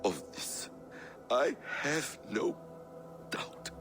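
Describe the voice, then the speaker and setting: An actor playing a sly, proud, defiant trickster god with theatrical, smooth-tongued delivery in a video game. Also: male voice, mid-range voice, very animated, drawn-out words, music in the background. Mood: bitter. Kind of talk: video game cinematic acting.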